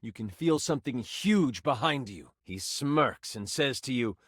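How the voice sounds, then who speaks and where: An actor playing a nerdy, eager and bashful game master in a video game, with an expressive, theatrical, self-conscious way of talking. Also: male voice, mid-range voice, unhurried, no echo, clean studio sound. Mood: suspenseful narrating. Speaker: tabletop game master character. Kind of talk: animated storytelling in a dating video game.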